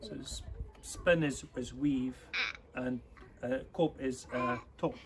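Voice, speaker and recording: A man whose first language is Afrikaans, from South Africa, chatting casually to a youth club and teaching words slowly. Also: medium pitch, steady tone, a little hiss or hum. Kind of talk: informal online talk to children.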